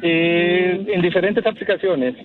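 Slow-talking, high-voiced man. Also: fairly even intonation, phone-quality sound, soft background music. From Guatemala. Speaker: guest on a radio matchmaking segment. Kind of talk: casual chat, short answers.

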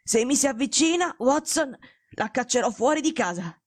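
Woman reading as sick detective guy in an audiobook, hoarse n breathless, bossy, halting. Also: a little high, expressive, no echo, clean studio sound, exaggerated voice. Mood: imperious. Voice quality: weak, hoarse, gasping. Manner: curt, commanding, halting. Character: feverish, imperious gravely ill male detective.

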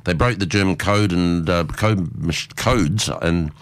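A man hosting a chatty interview, often jumping in with quick reactions. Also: low-pitched, no echo. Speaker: radio show host. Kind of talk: casual interview chat.

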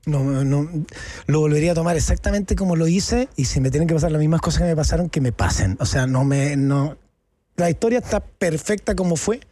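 A man's voice: fairly high pitch, lively intonation, a little fast, mellow, no room sound. A middle-aged Chilean man with a Chilean accent, talking frankly and with a lot of slang as a guest in a casual studio interview. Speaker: actor and guest.

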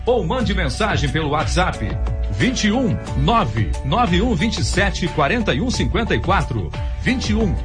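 Lively male promo announcer over music. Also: high voice, unhurried. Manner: upbeat, lively promo read.

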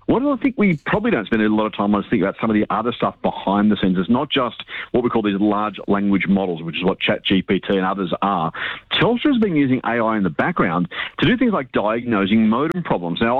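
A man's voice: lowish voice, big pitch swings, fast, no room sound. A man giving a chatty, self-deprecating finance update on radio. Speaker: finance commentator. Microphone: phone line into studio. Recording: phone line.

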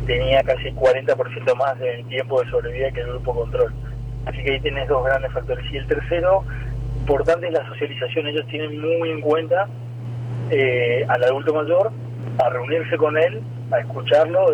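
An Argentine man, a doctor, explaining things point by point in a didactic way as an interview guest. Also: mid-range voice. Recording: dull lo-fi remote link, faint noise.

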